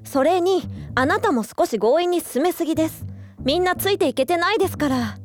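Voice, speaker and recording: An actress playing a friendly young university student who calms others in a gentle, chatty way. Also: female voice, very high-pitched, a little fast, faint music behind. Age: young adult. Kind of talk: radio drama acting.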